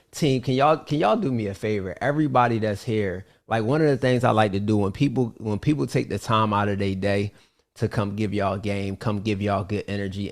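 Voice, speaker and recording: A man hosting a live online class, thanking a guest and rallying the audience with loose, rambling hype. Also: fairly low pitch. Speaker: host.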